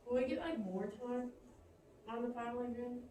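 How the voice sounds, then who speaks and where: A female student in a classroom, talking in a rapid, rambling way full of "like". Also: a little high, warm tone, a little hiss or hum. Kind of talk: casual classroom talk. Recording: very echoey, distant classroom pickup.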